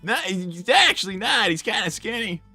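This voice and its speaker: Flustered, bright-voiced young boy fox, acted out. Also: mid-range voice, lively intonation, moderate pace, breathy edge, no room sound, faint music behind, full character voice. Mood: flustered, indignant. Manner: stammering, flustered. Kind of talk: improvised character roleplay on a livestream.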